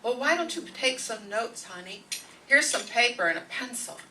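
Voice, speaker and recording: A woman's voice: on the high side, animated, normal speed, pushed and bright. A woman playing a practical, soothing wife with a coaxing manner in a radio comedy play. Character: practical, soothing wife managing her household. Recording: noisy, distant stage microphone.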